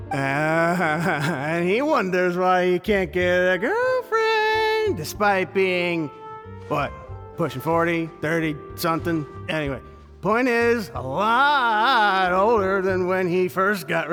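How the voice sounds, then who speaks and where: A tipsy, rambling drinker. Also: male voice, high-pitched, sharp tone, big-room sound, exaggerated voice. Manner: rambling, laughing, slurred chatter. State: drunk. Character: tipsy chatty man, loose-lipped business acquaintance. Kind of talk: game voice acting.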